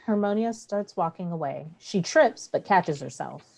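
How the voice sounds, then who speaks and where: Female narrator for staged reading: soft-edged, mellow, somewhat low scripted delivery. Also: animated, moderate pace, gravelly.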